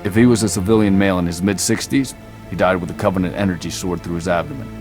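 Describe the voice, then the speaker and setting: An actor playing a stern, steadfast military commander in a video game, speaking in a measured, formal, restrained way. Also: male voice, sits low, fairly even intonation, a little mellow, faint music behind, lightly acted. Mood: restrained, dutiful. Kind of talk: video game cinematic acting.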